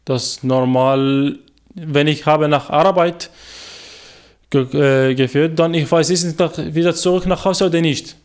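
Man, twenties, from Iraq, halting learner's German, frequent fillers, radio interview guest. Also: on the high side, steady tone, clean tone. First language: Arabic. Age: 20s. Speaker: guest, former journalist.

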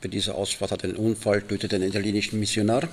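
A man's voice: on the low side, flat delivery, racing, slight background noise, natural voice. A middle-aged man with an Austrian accent as an old friend, telling anecdotes hesitantly in a radio collage. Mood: recollecting. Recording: crowd chatter behind the voice. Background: crowd chatter.

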